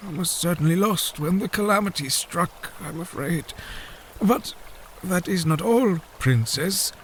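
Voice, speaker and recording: A man playing an old, grave, scholarly fish-folk advisor in a fantasy audio drama, speaking formally and deliberately. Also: sits high, a little hiss or hum. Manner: formal, deliberate, solemn storyteller.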